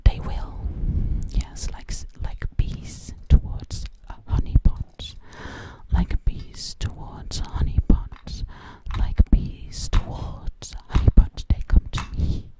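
A woman's voice: takes it slowly, lightly acted. A woman playing a sly, boastful witch in an ASMR roleplay, speaking breathily and close up, repeating words hypnotically. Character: sly, boastful female witch who takes people as coats. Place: small indoor room at a table. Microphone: close to the mic.

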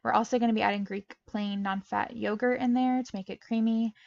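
A woman's voice: a little high, fairly even intonation, fairly quick, vocal fry, a little mellow, no room sound, dull audio. A young woman narrating her home meal prep in a bubbly, chatty way, often laughing between steps. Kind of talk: casual voice-over cooking narration. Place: home kitchen.

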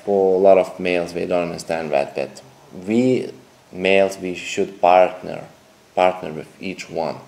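Deep-voiced man pitching. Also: darkish tone. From Lithuania. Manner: halting, repetitive, non-native phrasing. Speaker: dating coach. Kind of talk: direct-to-camera sales pitch. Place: indoor room with a TV screen behind.

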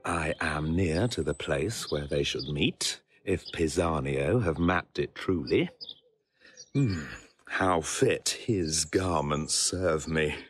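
Pompous, low-voiced young prince. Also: male voice. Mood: smug, gloating. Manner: pompous, halting, chuckling to himself. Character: vain, boastful, vengeful young prince in disguise. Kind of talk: theatrical Shakespearean soliloquy.

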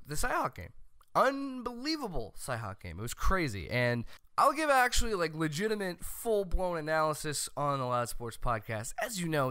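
An American man in his thirties hosting a sports show, loud and opinionated in a comedic commentary style. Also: mid-range voice, no room sound, clean studio sound. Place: small home room, indoors. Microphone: close desk microphone on boom arm.

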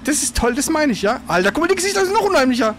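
A man with a high-pitched voice gives casual commentary while playing a video game. He speaks colloquially and throws in exclamations at the game. There is some faint noise behind him. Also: very animated, rapid-fire, fairly bright tone. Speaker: gamer, let's play host.

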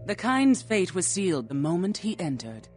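A woman's voice: lowish voice, sing-song, normal speed, a little mellow, soft background music. A woman playing a morbid, scholarly vampire in a video game, speaking in formal, solemn, archaic phrases with cold philosophy. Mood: cold, grave. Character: morbid scholarly female vampire flesh-eater, coldly philosophical. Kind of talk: scripted video game dialogue.

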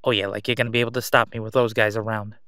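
Smooth male crime boss, acted by a man, cocky, sneering, folksy gangster patter, audio roleplay, dry sound. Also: fairly low pitch, level tone, rapid-fire, sharp tone, dry recording. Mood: gloating, mocking.

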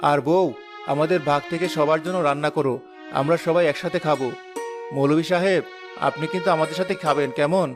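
A man's voice: fairly high pitch, fairly even intonation, a little fast, lightly acted. A man playing a kind, generous, pious villager in a moral cartoon. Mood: kindly, instructing. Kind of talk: Bengali moral cartoon dialogue. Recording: music behind the voice.

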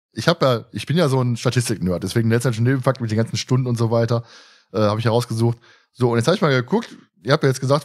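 Man, podcast host, very quick talker, casual chat, medium pitch. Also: darkish tone.

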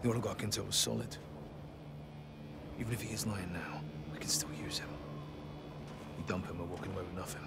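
Quick-talking army sergeant. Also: male voice, sits low, creaky, heavy background noise. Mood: urgent, reasoning. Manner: quick, persuasive. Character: level-headed, pragmatic young male special forces sergeant. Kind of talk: video game acting.

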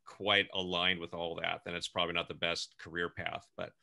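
Bright-voiced older man reminiscing. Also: sits low, level tone, fairly quick, gravelly. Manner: reminiscing, laughs often, trailing restarts. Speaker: co-host, former child actor. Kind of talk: casual video-call chat.

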